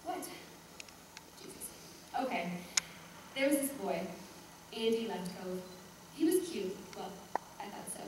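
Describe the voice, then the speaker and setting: A woman performing a theatrical monologue as a reluctant, embarrassed seventy-two-year-old, chatty and blunt, with halting, dismissive asides. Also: mid-range voice, animated, natural voice. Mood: hesitant. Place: echoey room, recorded from far off. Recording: echoey room, distant mic, faint noise.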